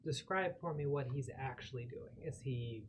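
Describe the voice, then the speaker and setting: Mid-pitched man playing tabletop roleplay. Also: moderate pace, slight background noise. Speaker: tabletop game player. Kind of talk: casual roleplay description.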